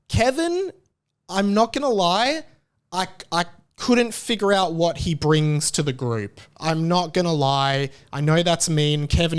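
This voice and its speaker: Chatty Australian man, smooth voice. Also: fairly high pitch. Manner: rambling, self-deprecating, full of filler likes. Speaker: podcast host. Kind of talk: casual solo podcast chat.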